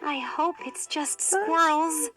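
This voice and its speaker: A brave, kind young storybook heroine, acted by a woman reader, high and bright in voice. She is earnest and impulsive, speaking in exclamations. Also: slow, soft background music.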